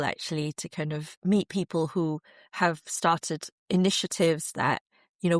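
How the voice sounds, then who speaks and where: A woman answering interview questions as a researcher, thoughtful and measured with frequent fillers. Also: fairly low pitch, no room sound, studio quality. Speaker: guest researcher.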